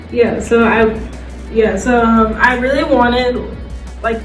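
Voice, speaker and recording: A woman giving a casual, informal panel presentation, talking loosely and conversationally with lots of "like". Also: medium pitch. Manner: conversational, loose, filler-heavy with frequent "like" and small laughs. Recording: roomy distant mic, loud music behind.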